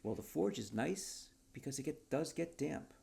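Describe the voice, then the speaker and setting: A man's voice: mid-range voice, expressive, normal speed, vocal fry, mellow, pitched above the performer's own voice. Man acting an eager, theatrical wordsmith. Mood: proud, whimsical. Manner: theatrical, earnest salesman patter. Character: eager, whimsical, talkative wordsmith with odd schemes.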